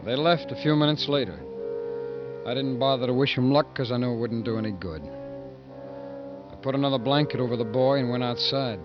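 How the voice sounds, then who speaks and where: A man's voice: medium pitch, a little fast, music in the background, natural voice. A man acting as a tough, defiant prison trustee in a 1950s American radio suspense drama, terse and hard-boiled. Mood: somber narration. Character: tough, defiant male prison trustee who narrates his story. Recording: muffled, noisy 1950s radio recording.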